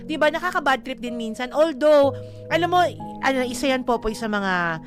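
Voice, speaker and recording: A Filipino woman with a fairly high voice talks as a show co-host giving love advice. She is expressive and playful, stressing her points with slangy, casual banter. Music plays clearly underneath her. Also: normal speed. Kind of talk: casual bantering talk, Tagalog-English mix.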